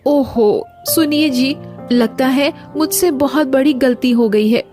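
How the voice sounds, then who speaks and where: A woman's voice: high voice, slow, a little mellow, soft background music. A woman playing a remorseful, affectionate village wife in a cartoon moral story, speaking in an earnest, polite way. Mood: regretful. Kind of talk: animated moral story dialogue.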